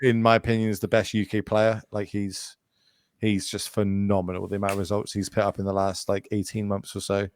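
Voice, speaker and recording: A man in his late thirties talks at length as a podcast guest, wandering from point to point with plenty of fillers and restarts. His voice is smooth and resonant, and the sound is dry and close. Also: on the low side, monotone, a little fast, dry recording. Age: 30s. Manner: rambling, goes off on tangents, many fillers and restarts. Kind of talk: casual chat.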